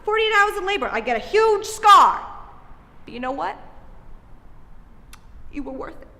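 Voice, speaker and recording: A woman playing a shrill nagging mother. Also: sing-song, measured pace, sharp tone, big-room sound, full character voice. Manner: shrill, scolding, sarcastic. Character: exasperated nagging middle-aged mother.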